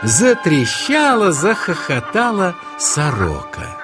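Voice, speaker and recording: A man narrating a children's story as storyteller, with expressive, dramatic delivery. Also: sits high, slow, over music.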